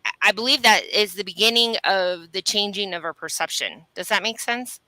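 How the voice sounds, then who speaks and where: A woman's voice: mid-range voice, moderate pace, sharp tone, dry recording, rough recording. A woman explaining things earnestly in a conversational coaching style on a video call, often checking in with the listener. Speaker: human design reader and coach. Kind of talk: conversational coaching on a live stream.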